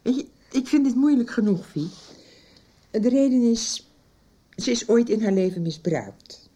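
A woman's voice: on the high side, expressive, unhurried, mellow, slight background noise. A woman acting a worried, insistent middle-aged mother in a radio soap. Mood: grave, insistent.

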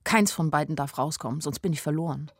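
A woman's voice: low voice, quick pace, breathy edge, no room sound, studio quality. Candid young woman narrator. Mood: anxious musing. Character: naive, anxious young woman telling her story. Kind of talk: radio play first-person narration.

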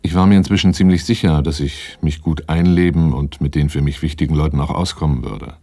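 Male, brooding first-person narrator for crime radio play, very low, dark mellow voice, self-assured and understated. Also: fairly even intonation, a little fast. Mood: reflective.

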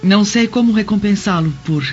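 An actress playing a gentle, gracious Roman noblewoman, polite and formal in radio-drama style. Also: mid-range voice, fairly quick, a little hiss or hum, close to an everyday voice. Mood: polite gratitude. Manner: polite, soft-mannered, formal. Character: gracious, gentle Roman senator's wife and mother. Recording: dull lo-fi radio drama, music underneath.

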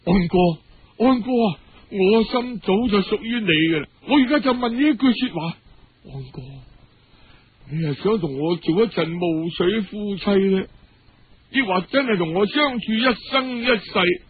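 Guy putting on a young cantonese woman in love, pleading n emotional, husky, storybook drama, lo-fi sound. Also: high-pitched, lively intonation, measured pace, full character voice. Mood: pleading, devoted. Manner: tender, imploring, emotional. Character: lovestruck, self-doubting young courtesan woman, pleading and devoted. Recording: dull lo-fi radio-style recording.

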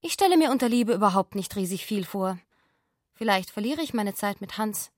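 A woman's voice: mid-range voice, animated, brisk, no room sound, clean studio sound, natural voice. A woman playing an earnest, precocious young woman speaking reflectively in a radio drama. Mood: pensive, resigned.

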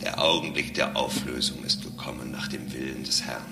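A solemn robot zealot in a radio play, with an extremely deep voice. It makes slow, formal pronouncements with calm prophetic certainty. The voice echoes through loud background noise. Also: male voice, vocal fry. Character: solemn, devout robot serving a Lord, calmly prophetic. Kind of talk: German radio drama acting.

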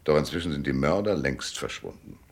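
An actor in a German radio drama playing a world-weary, cynical private detective with a laconic, knowing manner and a deep, gravelly voice. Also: male voice, a little fast, heavily acted. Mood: cool, grim.

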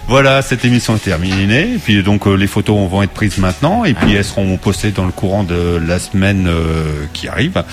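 A man with a fairly low voice hosts a radio show from the studio. He speaks in a relaxed, casual way with frequent hesitations and fillers. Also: a little fast, few pauses, faint music behind.

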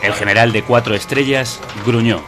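A man performing scripted radio drama in the formal, deliberate, detached manner of an impassive announcer. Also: medium pitch, steady tone, close to an everyday voice. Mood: solemn narration. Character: impassive male computer system and storyteller. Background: loud music.

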